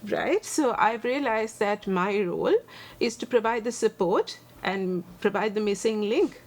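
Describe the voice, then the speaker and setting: A Sri Lankan woman in her forties with a Sri Lankan accent, a lawyer answering interview questions earnestly and thoughtfully, pausing on many fillers. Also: mid-range voice. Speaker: guest, human rights lawyer and advocate.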